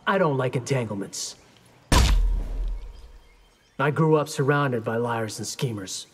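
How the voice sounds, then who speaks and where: A man's voice: mid-range voice, a little slow, background noise, close to an everyday voice. An actor playing a brave, cocky young male ninja in a video game, with casual, confident banter. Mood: cocky, defiant. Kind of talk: video game character acting.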